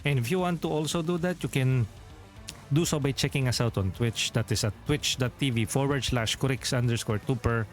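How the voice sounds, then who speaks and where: A man's voice: mid-range voice, a little fast, soft background music. A Filipino man with a Filipino accent, a game streamer chatting casually and rambling as he corrects himself. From Philippines. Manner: rambling, self-correcting, chuckles often. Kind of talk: casual livestream chat.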